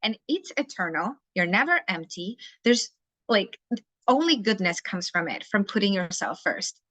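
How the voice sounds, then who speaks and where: A Chilean woman speaking English with her native Spanish still in her accent. She talks in a frank, outspoken way, and her voice comes through bright and tense. The sound is very dry, with no room around it. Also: medium pitch, animated, normal speed, dry recording. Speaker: guest, life coach. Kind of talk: casual interview conversation.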